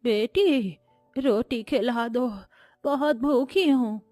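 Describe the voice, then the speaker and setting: A woman voicing a kindly, hungry old beggar woman in a cartoon fairy tale, speaking slowly and gently. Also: fairly high pitch, no room sound, faint music behind. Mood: pleading. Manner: slow, gentle.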